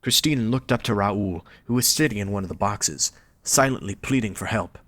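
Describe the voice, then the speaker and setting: Low-pitched man narrating, dry close sound. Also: normal speed, a bit bright, no room sound. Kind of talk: scripted story narration.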